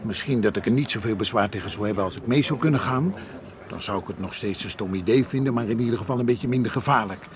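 A man's voice: fairly low pitch, fast, background noise, close to an everyday voice. An actor in a radio play playing a worried, cautious man who argues earnestly and insistently. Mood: exasperated, worried. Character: worried, cautious male friend urging sense. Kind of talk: radio drama acting. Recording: radio play with crowd murmur behind.

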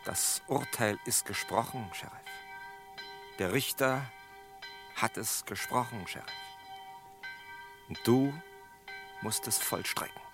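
An actor playing a condemned man in a 1960s Western radio play, resigned, solemn and measured as he calmly insists on accepting his fate. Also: mid-range voice. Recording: 1960s radio drama with music behind.

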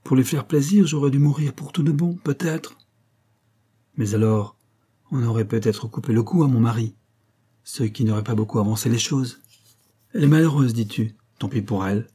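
Male reader as young woman, proud actress, mellow husky tone, impatient, mocking, bitter, storybook. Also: on the low side, brisk. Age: young adult. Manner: railing, vehement, impatient.

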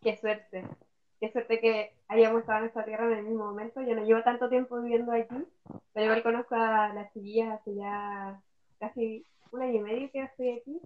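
A Chilean woman hosts a casual community podcast in an upbeat, guiding way, often pausing on "eh". Her voice sits a little high. The sound is somewhat dull and lo-fi. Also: little pitch movement. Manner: upbeat, guiding with frequent "eh" fillers. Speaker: podcast host. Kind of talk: casual radio-style podcast hosting.

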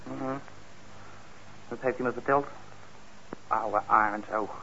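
A man's voice: medium pitch, steady tone, takes it slowly, bright, forceful tone. An actor in a Dutch radio play playing a troubled young man on probation, blunt and hesitant, resentful yet eager. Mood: defensive, bitter. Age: young adult. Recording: muffled, noisy old radio recording.

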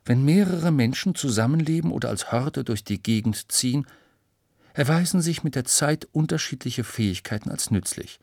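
A man's voice: mid-range voice, lively intonation, moderate pace, darkish tone, no room sound, studio quality. A man reading scripted educational narration for children, explaining in a storytelling way with rhetorical questions.

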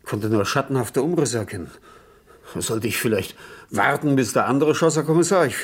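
A man acting in a German radio crime drama, playing a nervous, evasive insurance agent who speaks haltingly. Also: mid-range voice, animated, slightly rough. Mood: defensive, agitated. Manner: hesitant, halting, faltering. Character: nervous, evasive male insurance agent suspected of killing an intruder.

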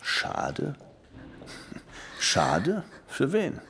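An actor playing a sardonic, impatient police interrogator who probes sarcastically in a crime radio drama. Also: male voice, fairly high pitch, wildly swooping pitch, very slow, creaky, a bit bright, slight background noise, exaggerated voice. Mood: sarcastic. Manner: sarcastic, probing.

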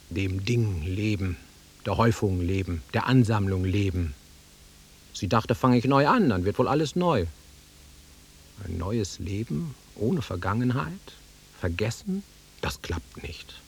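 Husky, low-voiced volatile man. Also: exaggerated voice. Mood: taunting, bitter, then subdued, muttering. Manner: erratic, swinging from muttering to outbursts. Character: volatile nostalgic country-bred man, brooding and taunting. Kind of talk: German radio drama acting.

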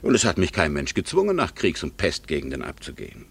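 Casting: a melancholy, ironic male admirer, middle-aged, husky and very low, courtly delivery sinking toward whispers, vintage radio play. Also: wildly swooping pitch, fast talker, exaggerated voice. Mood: wry, wistful. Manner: courtly, hesitant, drops to near-whisper. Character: wistful, ironic gentleman, a longtime secret admirer. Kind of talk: 1960s German radio drama acting.

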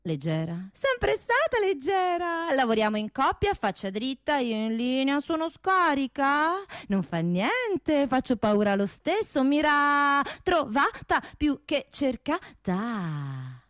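A woman's voice: high voice, big pitch swings, slow pace, a bit bright, dry recording, clean studio sound, heavily acted. A woman playing a seductive, self-admiring female pistol in a theatrical radio play, proud and teasing.

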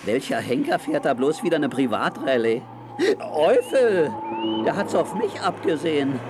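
An actor playing a tough, good-hearted sailor hero in a children's audio drama, boastful, muttering and full of exclamations. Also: male voice, on the high side, lively intonation, normal speed, noisy background, natural voice. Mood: feisty.

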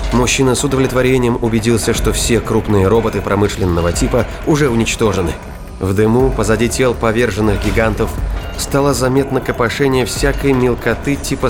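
Guy narrating sci-fi thriller audiobook, dramatic and deliberate, medium pitch, loud music n explosions behind. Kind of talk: scripted narration of a science fiction thriller. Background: music and battle sound effects.

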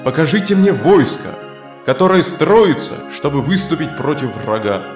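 An actor playing a proud, disdainful Roman soldier who craves war, declaiming in a dramatized children's audio story. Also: male voice, fairly high pitch, very animated, moderate pace. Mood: martial, fervent. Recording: reverberant studio with loud music.

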